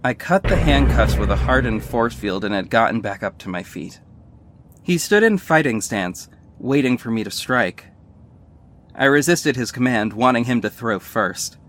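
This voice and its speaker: Superhero lead for a male actor: first-person audio drama narration, medium-pitched, wry, sardonic, earnest storyteller. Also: expressive, moderate pace, a bit bright, lightly acted. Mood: tense recounting. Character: sardonic young male superhero narrating his own fight.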